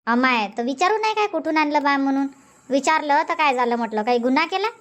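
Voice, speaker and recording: A woman's voice: sits high, animated, a bit bright. A woman voicing a nagging village housewife. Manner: scolding, complaining, rapid village banter. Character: nagging village housewife Shanta, chatty and sharp-tongued. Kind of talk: dubbed cartoon comedy.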